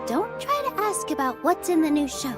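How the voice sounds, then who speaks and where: A woman voicing a sweet, polite young dancer in a video game, with a gentle, courteous manner. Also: high-pitched, big pitch swings, normal speed. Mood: playfully firm. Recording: loud music behind the voice. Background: music.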